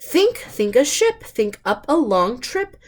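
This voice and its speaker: A woman's voice: high-pitched, very animated, takes it slowly. A woman hosting storytime, reading picture books aloud in simple, emphatic phrases and putting questions to young listeners. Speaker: storytime host reading to children.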